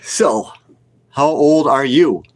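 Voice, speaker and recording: A man's voice: mid-range voice, slow pace, slight background noise. Blunt man around forty with a cold. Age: about forty. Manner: blunt, opinionated, repeats himself for emphasis. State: sick with a cold, sniffling. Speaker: livestream host, retail investor. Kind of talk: casual livestream chat, answering viewer comments.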